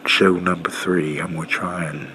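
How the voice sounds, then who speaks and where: A man hosting a radio show, talking casually in a halting, low-key, unscripted way. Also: level tone, bright, forceful tone. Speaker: host of an old-time radio show. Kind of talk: casual unscripted sign-off talk. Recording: clearly noisy home-made recording.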